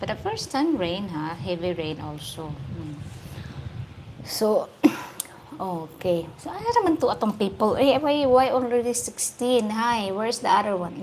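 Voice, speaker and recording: A woman from the Philippines with a Filipino accent hosts a casual livestream, hoarse-voiced, chatting, laughing often and greeting viewers by name. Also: mid-range voice, darkish tone, slight background noise. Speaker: YouTube host. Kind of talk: casual livestream chat reading viewer comments.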